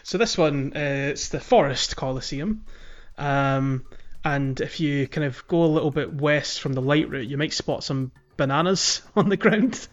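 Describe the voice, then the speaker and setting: A man's voice: on the high side, a little slow, muffled recording, faint music behind. A man giving casual, chatty gaming commentary as a podcast host, telling things informally.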